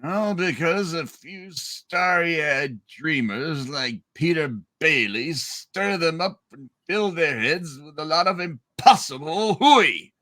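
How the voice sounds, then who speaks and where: A scornful old banker villain, hammed up by a man reading a radio play, pompous and cold. He speaks slowly in a bright, forceful voice that rises and falls dramatically. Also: a little high, animated, exaggerated voice.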